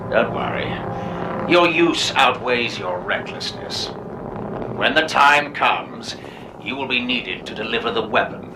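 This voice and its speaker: A man's voice: medium pitch, lively intonation, background noise. A man playing a smug, calculating game villain, condescending and coldly patient. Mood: smug menace. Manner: condescending, measured menace. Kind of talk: dramatic video game acting. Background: clear music.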